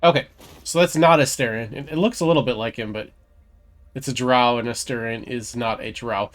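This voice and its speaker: A man talks casually through a video game as he plays it. His voice sits at a middle pitch, and he moves between offhand commentary and reading text out. Faint music plays underneath. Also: fairly quick.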